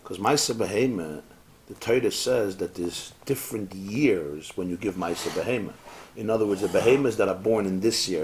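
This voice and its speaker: A man, a rabbi, teaching a Talmud class to students in a lively, rhetorical way, tossing in Hebrew and Yiddish terms. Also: lowish voice, a little hiss or hum. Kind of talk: lively Talmud class lecture with back-and-forth.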